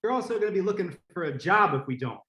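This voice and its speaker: Very high, forceful, barking boss played by a man. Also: lively intonation, quick pace, echoey room. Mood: brusque, alarmed. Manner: curt, commanding. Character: impatient, bossy supervisor barking orders. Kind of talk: old-time radio comedy acting.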